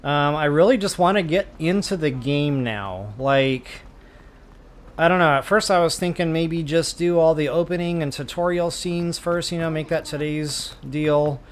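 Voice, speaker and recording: A man's voice: fairly high pitch, normal speed. A man giving casual, rambling video game walkthrough commentary as a host. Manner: chatty, informal, rambling.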